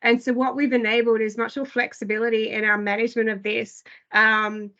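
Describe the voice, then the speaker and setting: A woman with a fairly high, slightly hoarse voice walks listeners through new platform features. She talks in loose, hesitant runs, leaning on "I guess" and "um". The sound is dull and lo-fi, as over a video call. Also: level tone, fairly quick, fairly bright tone. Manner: filler-heavy, frequent "I guess" and "um". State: losing her voice. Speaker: product presenter. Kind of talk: online product briefing.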